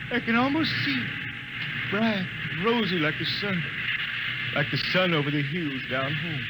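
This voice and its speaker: An actor playing an old, blind, homesick spaceman with a folksy, rough-hewn manner, in 1950s radio drama style. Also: sits high, heavy background noise. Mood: resigned, dreamy. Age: older. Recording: old radio recording with loud music.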